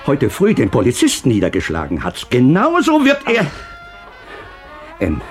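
An actor playing an anxious, irritable, stern older father in a 1960s radio drama. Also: medium pitch. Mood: grave, insistent. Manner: agitated, commanding, nagging.

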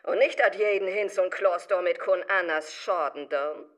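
An actress playing an excitable witch who programs computers, in a comic rhyming radio play, speaking theatrical northern Low German. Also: lightly acted. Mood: scornful. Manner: theatrical, drawn-out incantations, shrieks.

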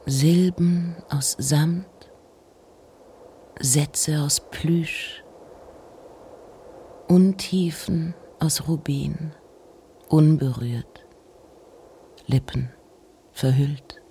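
A woman reciting poetry in a measured, hushed and deliberate way, line by line. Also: deep voice, fairly even intonation, slight background noise. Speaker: poetry reader.

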